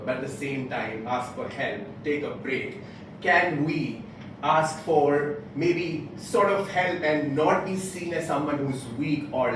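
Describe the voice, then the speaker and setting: A man gives a motivational speech in a deliberate, rhetorical way, pausing between short phrases. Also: medium pitch. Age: late twenties. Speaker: club speaker giving a prepared speech. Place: indoor club meeting room, picked up from a distance. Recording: very echoey room, distant mic, background noise.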